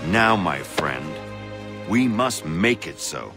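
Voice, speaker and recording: An actor playing an earnest, dignified colonial patriot in a video game, speaking formally and with measure. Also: male voice, low-pitched, sing-song, slow pace, over loud music, full character voice. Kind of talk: video game cutscene acting.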